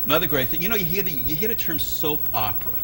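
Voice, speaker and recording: A man's voice: fairly high pitch, lively intonation, fast, over music, low-quality recording. A man in his sixties hosting a casual TV interview, jokey and enthusiastic. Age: 60s. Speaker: TV show host. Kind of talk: casual nostalgic interview chat.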